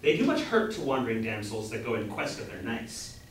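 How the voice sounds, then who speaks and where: A man's voice: medium pitch, sing-song, fairly quick, natural voice. A man playing an earnest young grocer's apprentice, grandly reciting a chivalric romance in a staged reading. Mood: musing. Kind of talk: amateur staged reading of a period comedy. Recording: echoey room from far off, faint noise.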